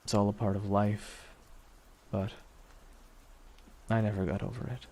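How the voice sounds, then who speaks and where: A man playing a grieving, vengeful supervillain in an ASMR roleplay monologue, weary and softly menacing, brooding with deliberate pauses. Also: lowish voice, vocal fry, slightly rough, full character voice. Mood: mournful, resigned. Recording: steady noise under voice, rain ambience.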